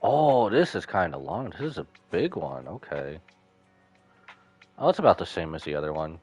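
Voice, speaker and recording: A man's voice: low voice, sing-song, normal speed, darkish tone, breathy edge, faint music behind. A man doing casual livestream commentary on a game, musing aloud in short, informal remarks. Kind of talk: casual gaming stream commentary.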